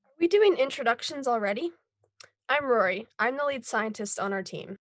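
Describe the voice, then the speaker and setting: A woman's voice: a little high, lively intonation, normal speed, dry recording, clean studio sound. A young woman playing a composed, welcoming lead scientist in her twenties in a table read. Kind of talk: table read of a scripted pilot.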